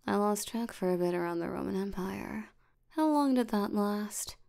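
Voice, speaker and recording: Woman acting as a lazy female sloth demon, drowsy drawl, gravelly fry, mellow and soft, unbothered, ASMR roleplay. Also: mid-range voice, lively intonation, moderate pace. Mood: drowsy, nonchalant. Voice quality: soft, breathy, creaky tired voice. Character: sleepy, lazy female sloth demon, ancient but youthful.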